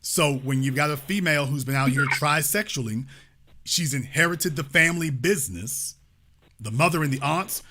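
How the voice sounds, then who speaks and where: A man's voice: fairly high pitch, normal speed, bright, forceful tone, slight background noise. A man hosting a call-in show, lecturing in an emphatic way. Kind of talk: talk radio commentary.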